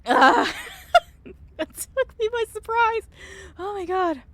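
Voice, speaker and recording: A woman streamer giving excitable, giggly, expressive commentary while playing a visual novel and reading it aloud. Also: very high voice, takes it slowly, dry recording. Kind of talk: live game commentary, reading dialogue aloud.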